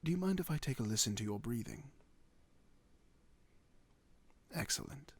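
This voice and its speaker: A man playing a courteous werewolf doctor in a fantasy ASMR roleplay, speaking formally and reassuringly with old-fashioned wording and a husky voice. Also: medium pitch, animated, quick pace, dry recording. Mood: attentive, examining.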